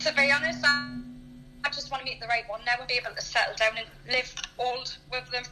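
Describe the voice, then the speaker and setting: A woman's voice: sits high, fairly even intonation, quick pace, over music, rough recording. A woman in her early thirties from Newcastle with an English accent, chatting candidly and earnestly about herself. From Newcastle, England. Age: early 30s. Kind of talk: candid personal chat.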